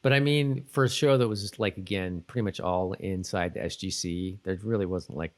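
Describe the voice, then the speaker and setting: A man's voice: sits low, brisk. A man co-hosting a podcast in casual chat, talking in a rambling, self-interrupting way. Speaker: podcast co-host. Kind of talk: casual chat reviewing a TV episode.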